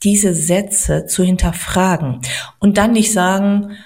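A middle-aged woman from Poland, a guest on a podcast, talking in an earnest, encouraging way and slowing down to stress her points. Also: mid-range voice, steady tone, darkish tone. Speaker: podcast guest, money coach. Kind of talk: motivational podcast talk.

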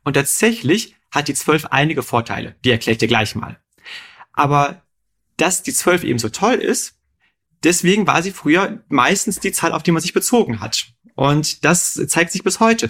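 Ein Mann aus dem Rheinland, der als Co-Moderator im Podcast locker etwas erklärt und seinem Gesprächspartner geduldig Rechenfragen stellt. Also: male voice, on the high side, fairly bright tone. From Rhineland, Germany. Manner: patient, quizzes his co-host with questions. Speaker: podcast co-host explaining numbers. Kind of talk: explanatory conversational chat.

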